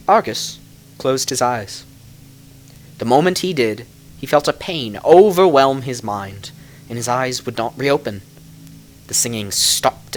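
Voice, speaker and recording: A man reading a dark tale as a dramatic narrator, with theatrical, deliberate emphasis and music behind him. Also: medium pitch, poor audio. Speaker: narrator reading lore books.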